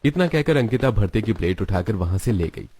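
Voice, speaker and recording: Guy narrating a kids cartoon story, low voice, scripted storybook read. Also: expressive, a little fast, mellow, a little hiss or hum.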